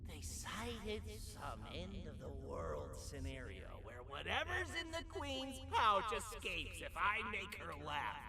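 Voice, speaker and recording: A man playing a theatrical, self-obsessed jester creature in a video game, desperate for laughs and swinging between hushed brooding and shrieking. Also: sits high, huge pitch swings, slow pace, few pauses, over music, exaggerated voice. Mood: bitter, brooding. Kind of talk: video game villain monologue.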